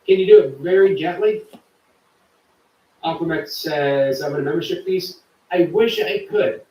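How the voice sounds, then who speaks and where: A man's voice: fairly high pitch, moderate pace, roomy sound, distant mic, poor audio. A man hosting a live stream, chatting casually and reading viewer comments aloud. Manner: chatty, warm to viewers, reads chat aloud. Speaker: livestream host.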